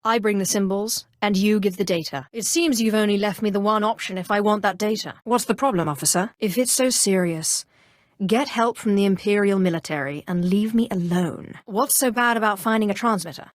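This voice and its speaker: A woman's voice: medium pitch, no room sound, lightly acted. Casting: actress for female Sith Inquisitor, game role. Cold, imperious, threatening, clipped delivery, mid-range voice, very dry studio sound. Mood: cold menace, then haughty impatience. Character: haughty, menacing female Sith Inquisitor, ambitious former slave. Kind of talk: video game character dialogue.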